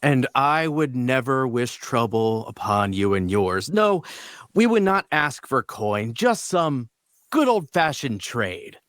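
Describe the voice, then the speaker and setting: A man playing a charming, smooth-talking leader of adventurers, flattering and persuasive, in a fantasy audio drama table read. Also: medium pitch, takes it slowly, dry recording, studio quality. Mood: smooth, reassuring. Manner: flattering, theatrically polite, persuasive.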